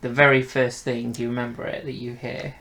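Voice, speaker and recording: Gravelly man hosting a casual review. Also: mid-range voice, level tone, fairly quick, very noisy. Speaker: host of an audio drama review show. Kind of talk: casual review chat with a co-host.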